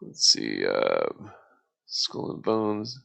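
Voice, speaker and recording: A man's voice: extremely low pitch, huge pitch swings, very slow, gravelly, no echo. A man hosting a livestream in a slangy, laid-back way, with casual rambling talk and freestyle rap. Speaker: livestream host. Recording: loud music under the voice. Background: loud music beats.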